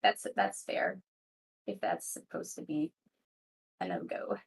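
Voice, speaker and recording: A woman's voice: very deep voice, big pitch swings, slow, vocal fry, a little hiss or hum, pitched below the performer's own voice, exaggerated voice. A woman playing a shy, evasive female assassin in an anime roleplay, speaking hesitantly with stammers.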